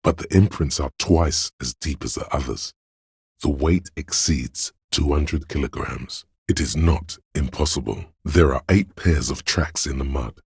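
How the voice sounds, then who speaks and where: A man playing a detective's analytical inner voice in a video game, speaking with grand, deliberate precision and a deep, gravelly, husky tone. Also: darkish tone, no room sound, studio quality, heavily acted. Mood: measured, analytical. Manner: deliberate, precise, portentous, theatrical. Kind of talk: dramatic video game voice acting, measured narration.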